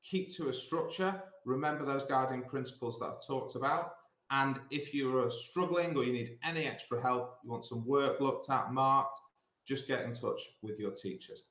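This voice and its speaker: A man's voice: medium pitch, normal speed, fairly bright tone. A middle-aged British man speaking as a school teacher, giving instructive advice and repeating points for emphasis, on a video call. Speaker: school sixth form director. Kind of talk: assembly talk giving exam revision advice. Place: small indoor office. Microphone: computer mic on a video call. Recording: roomy, echoey video call.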